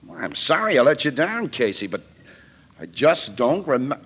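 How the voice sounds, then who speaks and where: An actor playing an earnest, defensive photographer questioned as a witness, hesitant, in 1940s radio drama style. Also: male voice, mid-range voice, wildly swooping pitch, moderate pace, a bit bright, slightly rough, exaggerated voice.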